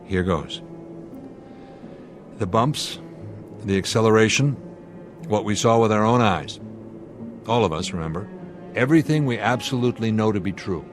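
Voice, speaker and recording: An actor playing an authoritative airline captain under pressure, explaining deliberately in a radio drama style. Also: male voice, on the low side, lively intonation, natural voice. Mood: measured, grave. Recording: dramatic music bed under the dialogue.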